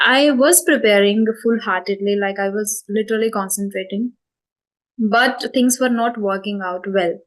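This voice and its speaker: A young Indian woman talks through her story in a conversational interview. Her English carries an Indian accent, and she pauses often on fillers and corrects herself mid-sentence. Her pitch and pace sit in the middle range. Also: fairly bright tone, low-quality recording. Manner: thoughtful, many fillers and self-corrections. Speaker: spoken English channel host.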